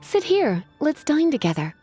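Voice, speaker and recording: A woman's voice: high voice, big pitch swings, normal speed, dark tone, faint music behind. An actress playing a languid, teasing witch librarian in a video game, with a coy, flirtatious, theatrical manner. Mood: playful, languid.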